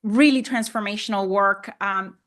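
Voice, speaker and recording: A woman's voice: medium pitch, moderate pace, fairly bright tone. A middle-aged woman from Honduras, a native Spanish speaker, talking conversationally as an interview guest and often repeating and rephrasing herself. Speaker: podcast guest, land investor.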